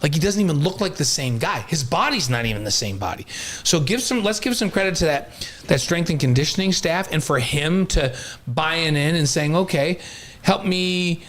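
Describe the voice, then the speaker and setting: Male co-host for sports talk, fairly high-pitched voice, casual conversational delivery. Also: expressive, normal speed, fairly bright tone.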